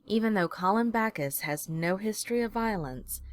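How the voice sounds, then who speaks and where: An actress playing a composed news reporter reading a scripted bulletin in an audio drama. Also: female voice, medium pitch, expressive, normal speed, dry recording, clean studio sound, natural voice. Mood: neutral, official. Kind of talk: scripted newscast.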